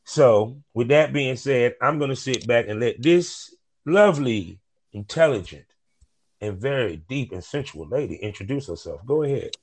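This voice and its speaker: A man's voice: mid-range voice, lively intonation, normal speed. A man hosting a casual podcast interview, talking in a laid-back, slangy drawl. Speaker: podcast host.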